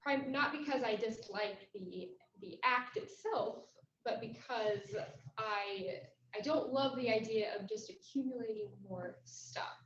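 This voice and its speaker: Woman thinking out loud, kinda high voice, roomy dull call sound. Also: a little slow. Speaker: club member. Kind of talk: answering a table topic at a meeting. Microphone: video call mic. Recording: echoey, dull, faint noise.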